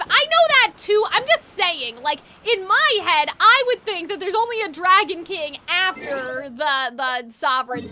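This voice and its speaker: A woman's voice: very high-pitched, sharp tone. A woman game streamer chatting casually, musing aloud in a rambling way over game music. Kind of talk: casual livestream chat. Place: livestream over a video game.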